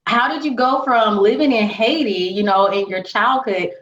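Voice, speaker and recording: A woman's voice: a little high, moderate pace, fairly bright tone, slight background noise. A woman hosting a podcast, chatting with her guest and asking friendly questions. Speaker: podcast host. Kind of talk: casual interview. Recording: roomy, dull lo-fi sound.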